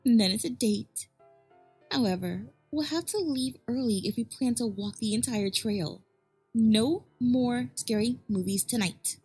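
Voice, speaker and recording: A woman playing a gentle, affectionate pony wife in an acted audio fan fiction. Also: a little high, unhurried, slightly rough, faint music behind, full character voice. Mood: gentle, practical. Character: gentle, caring pregnant female pony wife.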